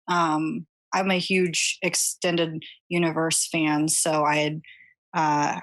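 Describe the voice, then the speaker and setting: Podcast guest, woman, slightly low voice, halting and casual anecdotal delivery. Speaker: podcast guest, amateur voice actor. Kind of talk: casual chat, telling an anecdote.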